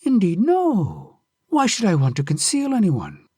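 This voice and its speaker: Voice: medium pitch, huge pitch swings, unhurried, husky, dry recording, clean studio sound, voice pushed up, exaggerated voice. A reader voicing a kind frightened wife. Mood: nervous. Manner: gentle, warning, then pleading.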